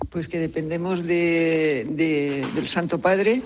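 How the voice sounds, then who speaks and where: A woman, a cloistered nun, answering interview questions calmly, firmly and with assurance. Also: low-pitched, slow, slight background noise. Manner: calm, firm and assured.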